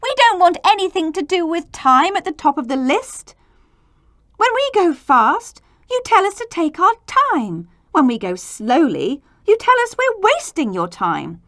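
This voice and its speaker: Indignant storybook kids voiced by a woman, raised swooping pitch, loud and emphatic protest. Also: sing-song, a little slow, no echo, clean studio sound, heavily acted. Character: indignant, argumentative group of young children.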